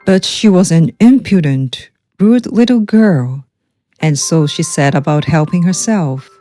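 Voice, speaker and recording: A woman storyteller reading a children's storybook aloud in an expressive, measured way, from a script. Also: lowish voice, soft background music.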